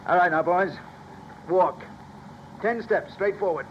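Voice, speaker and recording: A cocky American stick-up man in a 1950s radio thriller, pitched on the high side. He snaps out threats and orders in tough gangster slang. The vintage recording is dull, with clear noise behind him. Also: a little slow. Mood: threatening. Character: menacing armed stick-up man, cocky and gloating. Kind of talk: 1950s radio crime drama acting.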